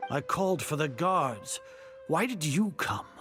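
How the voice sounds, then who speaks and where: An actor playing a stern, suspicious senior sage in a game, speaking in an imperious, brooding and deliberate way. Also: male voice, on the high side, very animated, fairly bright tone, soft background music. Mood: demanding. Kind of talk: dramatic game voice acting.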